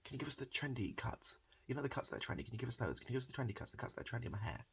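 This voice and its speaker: Man acting eager kid customer, raised pitch, swooping, soft, breathy, pleading, repetitive, comic ASMR roleplay. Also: big pitch swings, racing, heavily acted. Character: pleading, gullible trend-chasing kid customer at a barber's.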